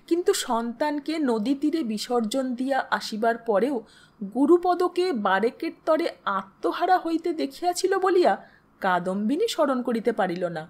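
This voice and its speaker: A woman storyteller reading literary fiction in a measured, expressive, dramatic way, pausing between phrases. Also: high voice. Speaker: storyteller, audio story narrator. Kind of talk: dramatic literary narration of a Bengali short story.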